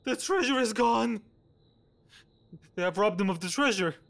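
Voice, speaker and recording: A nervous, fretful gentleman in an audio drama, acted by a man, with a notably high voice. He stammers and pleads anxiously, frightened of being blamed. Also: lively intonation, unhurried, gravelly, full character voice. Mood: panicked.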